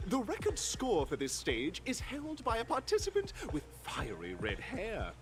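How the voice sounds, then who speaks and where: Bubbly game-show host. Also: female voice, medium pitch, huge pitch swings, unhurried, noisy background. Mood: playful. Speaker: challenge host. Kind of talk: studio game voice acting. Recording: game audio with loud music.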